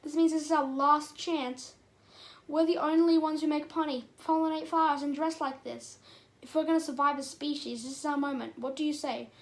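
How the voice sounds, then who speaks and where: Lady doing a high pitched boy bee hero from a storybook, excitable, bossy, really pushing everyone on. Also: moderate pace. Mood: rousing. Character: brave, excitable male honeybee hero, bossy and urgent.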